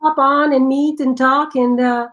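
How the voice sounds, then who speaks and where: High-voiced woman with a foreign accent. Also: unhurried, highs cut off. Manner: excitable, laughs often, restarts sentences. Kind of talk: casual chat.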